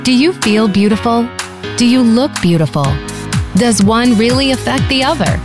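Female intro announcer, fairly high voice, scripted promo read with guitar music clearly behind.

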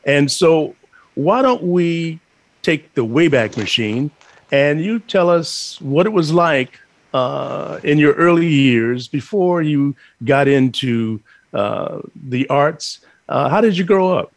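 A man from Chicago hosting an interview, speaking slowly and haltingly with many fillers. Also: fairly high pitch, expressive, no echo. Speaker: host. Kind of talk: interview host.

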